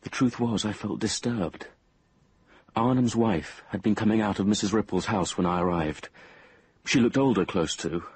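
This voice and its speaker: A man's voice: on the low side, normal speed, darkish tone, husky. An actor playing a troubled, anxious young man, narrating and acting in a radio drama. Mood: uneasy, haunted.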